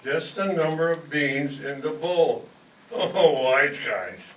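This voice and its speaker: An actor playing a blundering, excitable, scheming working-class family man in a 1940s-style radio sitcom. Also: fairly high pitch, slow pace. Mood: excited. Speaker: amateur performer in a staged radio show. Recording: echoey room, distant mic, faint noise.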